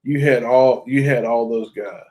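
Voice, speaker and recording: A man co-hosting a hip hop podcast, talking in a blunt, joking, animated way. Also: medium pitch, measured pace. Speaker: podcast co-host. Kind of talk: casual chat.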